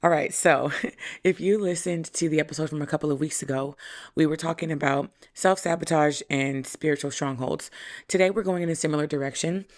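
A woman in her thirties with a hoarse, raspy voice, hosting a solo podcast and explaining ideas in a conversational way, speaking into a stand mic in a small room. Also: low voice, a little fast. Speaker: podcast host. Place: small decorated room indoors. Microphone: studio mic on stand in front.

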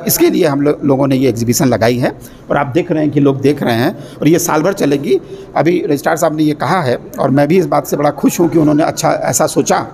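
A middle-aged man, a university official, giving an earnest, measured statement to reporters, pausing often to choose his words. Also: fairly high pitch, vocal fry. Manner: earnest, measured speech with many pauses and fillers. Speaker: university official addressing the press. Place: indoor exhibition corridor with people around. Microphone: cluster of handheld press mics. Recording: crowd chatter behind.

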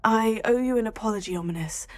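A woman playing a calm, persuasive teenage student witch in a video game, a slightly husky voice and a polite, measured manner. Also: fairly high pitch, lightly acted. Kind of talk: dramatic video game voice acting.

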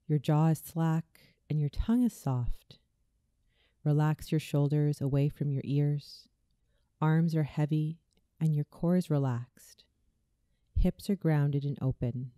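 A woman reading a scripted guided visualization in a soothing, deliberate way, as a meditation narrator. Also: sits low, warm tone, no room sound, studio quality. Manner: soothing, slow, deliberate delivery.

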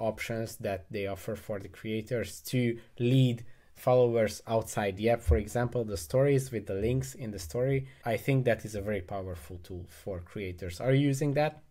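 A man's voice: on the low side, dark tone. A young man hosting a casual interview over a video call with earbuds in, asking probing questions. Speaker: interview host. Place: indoors, home room with gaming chair.

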